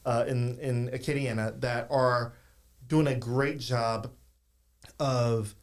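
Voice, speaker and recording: A man from South Louisiana hosting a podcast, talking casually in a rambling, halting way. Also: mid-range voice, steady tone. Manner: rambling, halting talk with restarts. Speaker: podcast host.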